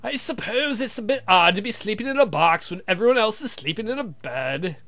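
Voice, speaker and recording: A man's voice: high-pitched, lively intonation, fairly quick, fairly bright tone, pitched above the performer's own voice. A man voicing a grumbling, fretful vampire count in a visual novel playthrough, whiny and complaining. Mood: weary. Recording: music behind the voice.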